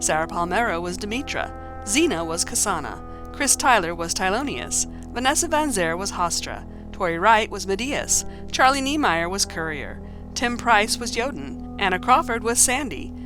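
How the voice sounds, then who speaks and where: A woman reads scripted closing credits as an announcer. Her pitch is medium for a woman and moves in a lively way, with music clearly audible behind her. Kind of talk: scripted narration.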